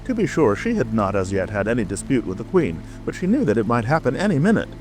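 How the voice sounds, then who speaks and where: A man's voice: mid-range voice, very animated, fast talker, darkish tone, poor audio. A man narrating a storybook as a dramatic, scripted audiobook reading. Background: music.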